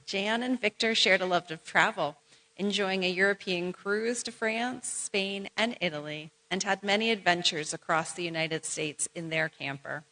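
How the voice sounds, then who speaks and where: A woman presenting at an awards ceremony, formally reading out short biographies in a measured, ceremonial way. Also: mid-range voice, sharp tone, rough recording. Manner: measured, ceremonial delivery with brief asides to honorees. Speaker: event presenter.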